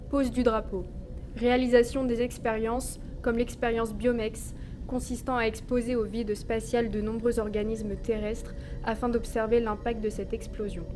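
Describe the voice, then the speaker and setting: A young woman playing a formal spaceship commander, reciting a mission log in measured, official sentences for a sci-fi audio drama. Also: fairly high pitch, natural voice. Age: young adult. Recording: clearly noisy, ship ambience behind.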